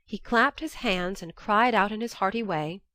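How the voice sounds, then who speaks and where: A woman reading scripted first-person literary narration for an audiobook, telling it in a measured, expressive way. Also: medium pitch, dry recording.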